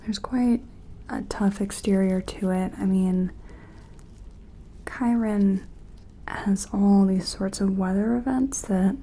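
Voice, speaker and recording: A woman performing a gentle ASMR roleplay as an examiner, soft-spoken and often whispering, explaining things in a tentative, clinical way. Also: mid-range voice, steady tone, takes it slowly, long pauses, poor audio.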